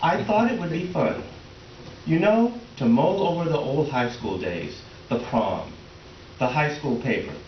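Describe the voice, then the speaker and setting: A man's voice: a little high, expressive. A man playing a mild, defensive middle-aged husband in a readers theater comedy, matter-of-fact and a little awkward. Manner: matter-of-fact, defensive, halting when flustered. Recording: roomy, distant, noisy, dull stage recording.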